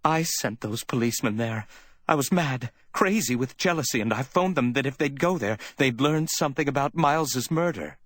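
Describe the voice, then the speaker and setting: A man's voice: medium pitch, moderate pace, no echo, pitched above the performer's own voice, close to an everyday voice. A man voicing a distraught, jealous woman in an audiobook, with a choked, whimpering, pleading delivery. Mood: distressed. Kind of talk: dramatic audiobook character reading.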